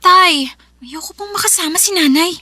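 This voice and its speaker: A woman's voice: very high voice, moderate pace, music in the background. A woman playing a sullen young schoolgirl, curt and dismissive, in a Tagalog radio drama. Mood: cold, dismissive.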